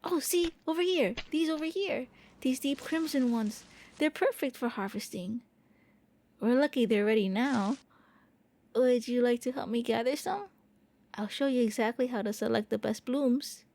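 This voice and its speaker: A shy, eager vampire prince voiced by a woman speaks in a mellow, fairly high voice. The delivery is gentle and intimate, a soft ASMR roleplay monologue with a hesitant, boyish courtesy. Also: moderate pace, slight background noise, natural voice. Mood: warm, eager. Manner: gentle, hesitant, intimate, stammering when flustered.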